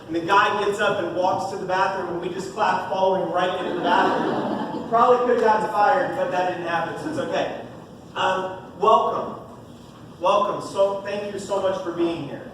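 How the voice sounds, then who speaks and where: A booming, high-pitched man in his thirties speaks as a parish youth minister, joking and upbeat with the audience. His voice comes from well away from the microphone and rings around a very echoey room. A steady noise sits under it. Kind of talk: parish talk to parents. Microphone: no mic, heard from far off. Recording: echoey room from far off, noisy.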